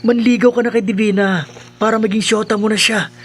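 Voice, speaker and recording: A male actor as a glib young buddy in a radio drama, loyal to his friend. His voice is high for a man, with faint noise behind it. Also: steady tone, brisk, natural voice. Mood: urging. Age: young adult.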